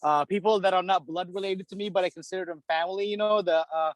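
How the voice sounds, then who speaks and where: A man's voice: high-pitched, steady tone, fast talker, no room sound. A man from the Philippines, a hockey repair shop owner, chatting casually as a podcast guest, rambling with lots of "dude" and "you know".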